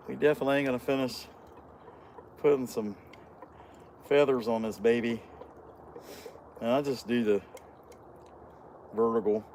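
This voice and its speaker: A hoarse man hosting his carving channel, talking in a folksy, self-deprecating, joking way. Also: mid-range voice, a little mellow, a little hiss or hum. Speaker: chainsaw carving channel host. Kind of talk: casual workshop vlog chat.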